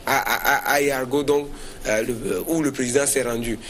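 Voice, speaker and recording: Male debate panelist, mid-pitched, halting and repetitive delivery, slight noise behind. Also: fairly even intonation, a bit bright. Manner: hesitant, halting delivery with repeated words. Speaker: panelist on a current affairs debate. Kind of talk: spontaneous political commentary in a discussion.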